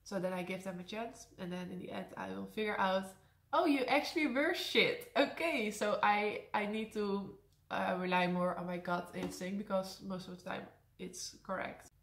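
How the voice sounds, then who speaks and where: A young Dutch woman with a high voice and a Dutch accent, chatting casually through a Q&A, laughing often and slipping in sarcastic asides. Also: steady tone, moderate pace, gravelly, a bit bright. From Netherlands. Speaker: vlogger. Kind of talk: casual Q&A to camera. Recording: echoey room.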